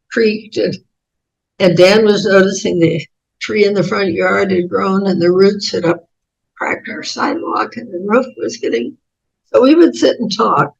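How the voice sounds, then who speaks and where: An 88-year-old American woman with a raspy voice, telling reflective personal stories as an interview guest in halting, thoughtful phrases. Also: medium pitch, highs cut off. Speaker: interview guest, author and speaker.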